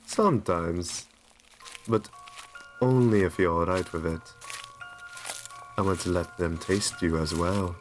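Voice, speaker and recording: Male actor as doting aristocratic vampire, ASMR roleplay. Low-pitched, unhurried, soothing and genteel, over music. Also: low-quality recording. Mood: tender, reassuring. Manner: gentle, reassuring, genteel endearments. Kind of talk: ASMR roleplay, scripted intimate monologue.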